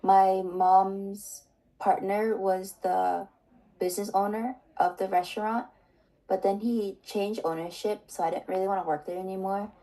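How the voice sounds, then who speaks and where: A young woman of nineteen from Seattle speaks with a warm, mellow voice at a middle pitch. She answers questions in a relaxed, soft-spoken way. She uses "like" a lot as she talks. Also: a little slow, low-quality recording. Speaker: interviewee. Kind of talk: casual conversation.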